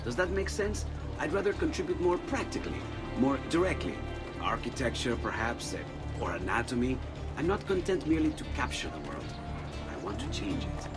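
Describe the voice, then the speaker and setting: A man playing an eager, courteous young Renaissance artist in a video game, expressive and musing, with an Italian accent. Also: medium pitch, a little fast, over loud music, lightly acted. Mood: earnest, musing. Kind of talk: dramatic video game acting.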